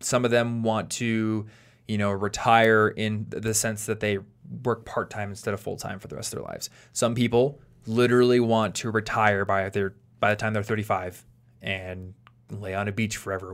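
Man, late twenties, somewhat low voice, podcast host, chatty explainer, restarts often. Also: normal speed, a bit bright. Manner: explanatory, thinking aloud with restarts. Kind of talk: casual podcast chat.

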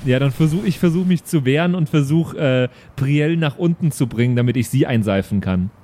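A man's voice: medium pitch, brisk, dark tone. A man playing a tabletop game, casually reporting his rolls and actions. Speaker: tabletop player.

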